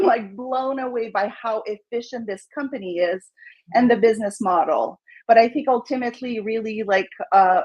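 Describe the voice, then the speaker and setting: A woman from Montreal with a Québécois French accent, a real estate agent who introduces herself in an eager, chatty way full of "like" and "um". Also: medium pitch. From Montreal, Canada. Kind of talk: introducing herself on a video call. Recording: dull lo-fi audio.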